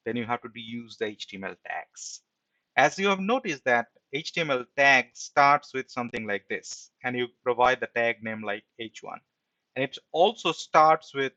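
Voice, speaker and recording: A man's voice: mid-range voice, sharp tone, dry recording, dull audio. A man giving an informal screen-share programming tutorial as an instructor, explaining step by step and often checking in with a short tag question.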